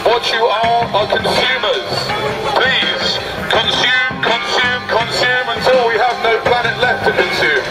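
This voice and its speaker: A high-pitched man makes satirical street announcements through a megaphone in a deadpan, repetitive way. His voice echoes from some distance in the open air. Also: a little slow. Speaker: street activist addressing passers-by. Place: outdoors in a public shopping area. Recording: echoey, distant street recording, loud music.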